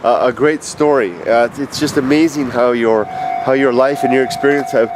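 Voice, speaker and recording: A man in his fifties, stuffed up with allergies, leaving a rambling, halting video message full of fillers. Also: mid-range voice, fairly even intonation. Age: 50s. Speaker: vlogger. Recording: noisy outdoor street recording.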